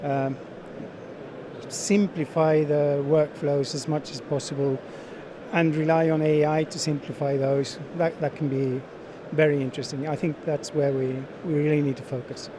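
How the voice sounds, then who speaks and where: A middle-aged man giving unscripted interview answers as a sales manager, speaking in halting phrases with frequent repeats. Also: a little high, level tone. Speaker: sales manager being interviewed at a trade show. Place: busy exhibition hall. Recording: busy trade show crowd noise.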